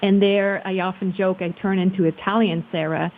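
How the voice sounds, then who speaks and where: A woman's voice: medium pitch, fairly even intonation, moderate pace. Woman from switzerland chatting as a guest, kinda hesitant n thoughtful, thin phone sound w a bit of hiss. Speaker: interview guest, marketing professional. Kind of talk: casual conversational interview. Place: remote call. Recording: muffled phone-like line with hiss.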